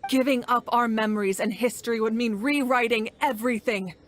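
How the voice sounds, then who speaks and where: A woman's voice: a little high, a little fast, slight background noise, close to an everyday voice. A woman playing a resolute, principled leader in a video game, earnest and formal in her delivery. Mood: grave.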